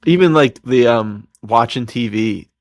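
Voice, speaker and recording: A man's voice: medium pitch, measured pace, dry recording, clean studio sound. A man chatting casually as a podcast co-host, with a slangy, self-deprecating way of talking. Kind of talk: casual chat.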